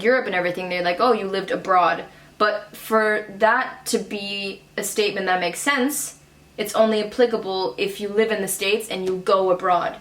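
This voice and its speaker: A woman's voice: mid-range voice, fairly bright tone, echoey room. A young woman, a German native speaker raised abroad, chatting casually as a guest with many fillers and frequent laughs. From raised abroad in Cairo, Dubai and Shanghai. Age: young adult. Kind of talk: casual conversation.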